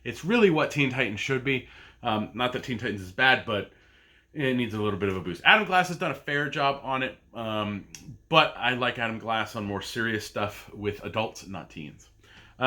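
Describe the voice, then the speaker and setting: A man in his thirties talks casually about his comic pull list, wandering from thought to thought with plenty of ums and restarts. His voice has a bright, forward edge, and the setting sounds like a relaxed home recording. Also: mid-range voice. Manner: rambling and chatty, with many fillers and restarts. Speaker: comic book podcast host. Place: home basement.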